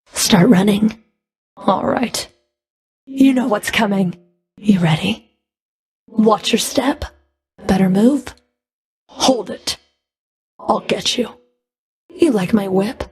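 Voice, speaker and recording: A woman playing a stern, scornful female Fury in a video game, curt, cool and commanding, with a breathy edge to her voice. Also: mid-range voice, darkish tone, exaggerated voice. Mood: combative. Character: stern, scornful female Fury, a whip-wielding underworld enforcer. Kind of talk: video game combat voice lines.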